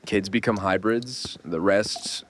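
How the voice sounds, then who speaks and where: An actor playing a gruff, weary survivor with a wry, streetwise way of explaining things, in a horror audio drama. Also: male voice, fairly low pitch, slow pace, noisy background. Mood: grim explaining. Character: gruff, weary male survivor of a nightmare dimension.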